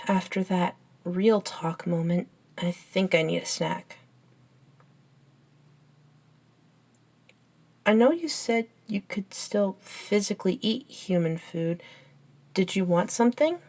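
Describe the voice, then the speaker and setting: A young woman, acted out by a woman in an ASMR roleplay, the devoted best friend with a scientist's curiosity. She takes her time, speaking at a slow pace in a medium-pitched voice. Her manner is earnest and halting, with a gentle teasing streak. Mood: casual, teasing. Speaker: amateur audio roleplay voice actor.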